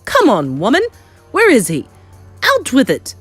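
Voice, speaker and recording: Pompous middle-aged English dad, played by a woman narrator, indignant and spluttering, loud swooping delivery for a storybook. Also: a little high, huge pitch swings, unhurried, breathy edge, soft background music, voice pushed up, exaggerated voice. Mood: shouting outrage. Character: blustering outraged English father, pompous middle-aged man.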